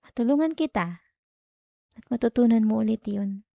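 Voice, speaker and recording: A woman with a Filipino accent playing a gentle, reassuring girlfriend in an intimate ASMR roleplay, speaking softly and tenderly close to the microphone. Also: on the high side, moderate pace, creaky, no room sound, dull audio. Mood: soft, caring. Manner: soft, tender, coaxing, with murmured hums. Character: gentle, reassuring young girlfriend comforting her partner at night. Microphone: close microphone, dry.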